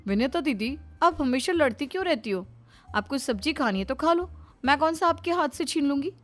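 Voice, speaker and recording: A high-pitched actress playing a sarcastic, scolding woman of the house in a cartoon family drama. She chides and taunts her relatives over faint background music. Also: expressive, fairly quick. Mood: taunting. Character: bickering, sarcastic daughters-in-law and scolding mother-in-law. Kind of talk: Hindi cartoon family story acting.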